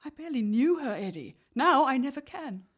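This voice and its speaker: A woman's voice: high-pitched, very animated, a little fast. A woman playing a grieving, bitterly disappointed genteel Victorian lady in a radio play. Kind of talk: period radio play acting.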